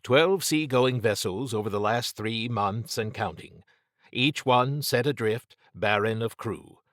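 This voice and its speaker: A man's voice: mid-range voice, a little slow, a bit bright, no echo, studio quality. A man narrating scripted fiction as a dramatic audiobook reader.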